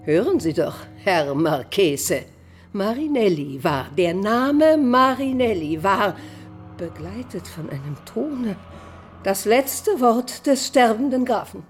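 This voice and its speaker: A woman's voice: medium pitch, big pitch swings, slow, faint music behind. An actress playing a distraught, fierce noblewoman and mother, declaiming tragically and accusingly in a mid-century radio drama. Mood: suspicious, accusing.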